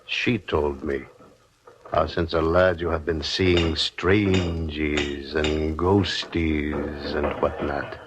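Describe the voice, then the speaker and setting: A man's voice: extremely low pitch, little pitch movement, slow. An actor playing a scheming man who coaxes his young brother-in-law into drinking poison, in 1950s radio drama style. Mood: coaxing. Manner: soft-spoken, coaxing, calls him lad. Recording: faint hiss of a 1950s radio broadcast.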